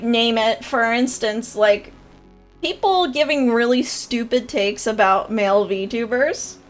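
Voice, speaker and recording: A woman's voice: on the high side, fairly bright tone. A young woman with a nasal voice, chatting casually and rambling on a livestream, with lots of fillers and laughs. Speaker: VTuber streamer and creator coach.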